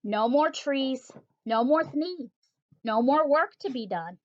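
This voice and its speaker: A woman reads a rhyming picture book aloud at home, her delivery bouncing along with each rhyme in a fairly high voice. The recording is dull and muffled at the top end and completely dry, with no room echo. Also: slow pace. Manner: animated, rhythmic rhyming delivery. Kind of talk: expressive read-aloud of a rhyming storybook.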